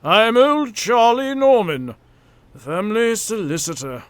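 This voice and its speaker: A man's voice: high-pitched, big pitch swings, a little slow, no room sound. A man playing a stout, elderly British family solicitor in an old-time radio drama, hearty, teasing and knowing. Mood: genial, knowing.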